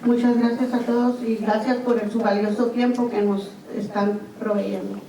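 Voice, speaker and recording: A woman's voice: on the high side, level tone, normal speed, dark tone, a little hiss or hum. A woman resident giving earnest prepared testimony at a city budget hearing. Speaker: resident giving public comment. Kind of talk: formal prepared public testimony. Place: public meeting hall. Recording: very echoey hall, distant mic, crowd.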